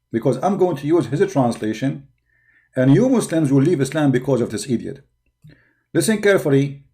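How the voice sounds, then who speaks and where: A man's voice: medium pitch, dark tone. A male host commenting on a played video. He mocks the speaker with sarcasm and talks directly to his viewers. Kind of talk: casual reaction commentary on a livestream.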